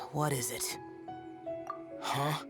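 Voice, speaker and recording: An actor playing an aloof young immortal guardian in a video game, speaking in a formal, curt and solemn manner. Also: male voice, mid-range voice, fairly even intonation, drawn-out words. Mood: reserved. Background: game music.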